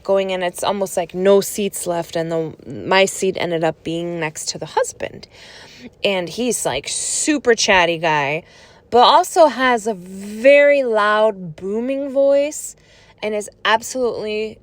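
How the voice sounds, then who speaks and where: A woman in her thirties telling a personal story in a casual, chatty way, full of "like" fillers and acted-out quotes. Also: mid-range voice. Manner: chatty, many "like" fillers, animated quoted voices. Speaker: vlogger telling personal stories.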